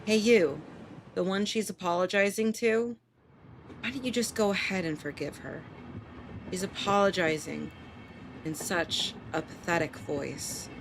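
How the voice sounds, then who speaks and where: A woman's voice: on the low side, measured pace. A woman with an American accent on a live game stream, reading a visual novel aloud and commenting casually, swearing and tripping over words. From Portland, United States. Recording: clearly noisy background.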